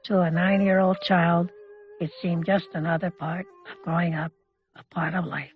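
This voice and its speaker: Woman, lower pitch, reflective personal recollection, slightly dull recording, faint music behind. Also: little pitch movement, moderate pace. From Canada. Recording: muffled audio with music behind.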